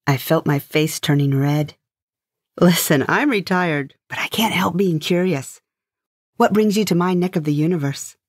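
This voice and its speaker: A woman's voice: fairly low pitch, normal speed, no echo, clean studio sound, close to an everyday voice. A woman playing a wry, curious retired space heroine who narrates a comic sci-fi story with dramatic flair. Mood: wry, intrigued.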